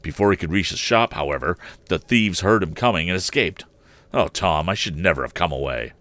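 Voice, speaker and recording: A man's voice: deep voice, animated, fairly quick, a bit bright, no echo. A man voicing an agitated, impatient elderly inventor and father in a storybook reading. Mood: worried, agitated. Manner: agitated, insistent.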